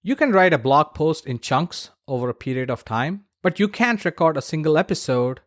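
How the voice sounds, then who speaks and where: A man with a slightly high-pitched voice talks as a solo podcast host, in a dry, close recording. He explains practical points conversationally, restating key words for emphasis. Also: animated, normal speed, no room sound. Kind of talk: solo podcast talk giving practical advice.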